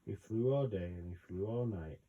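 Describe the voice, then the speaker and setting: A man's voice: lowish voice, moderate pace, phone-quality sound. A man reading a storybook aloud casually, wandering off into wry asides of his own. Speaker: host reading a children's book aloud with commentary.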